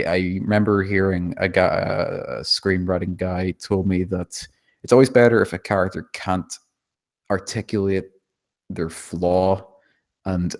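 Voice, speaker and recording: Low-pitched man, hesitant and self-deprecating. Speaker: table read participant giving script feedback. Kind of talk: spontaneous critique, thinking aloud.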